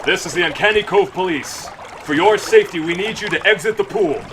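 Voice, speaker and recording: A man voicing a loud, authoritative police officer in a horror radio drama. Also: high voice, a little fast, noisy background, pitched above the performer's own voice, natural voice. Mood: stern command. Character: commanding male police officer.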